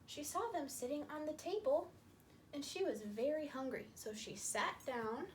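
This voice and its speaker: A woman's voice: high-pitched, animated, a little hiss or hum. A woman telling a story to young children with puppets, repeating and emphasizing phrases as she explains. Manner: slow, repetitive, emphatic, explaining to small children.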